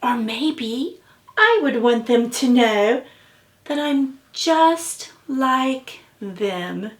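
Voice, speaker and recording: A woman voicing a dreamy, eager little moonling girl in a picture-book reading, with drawn-out phrasing. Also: fairly high pitch, sing-song, exaggerated voice. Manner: drawn-out, deliberate storybook phrasing.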